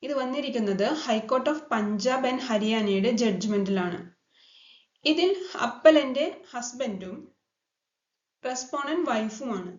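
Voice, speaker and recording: A woman's voice: a little high, slow. A Malayali woman presenting a scripted legal explainer in a formal manner, mixing in English legal terms. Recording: dull lo-fi sound, echoey room.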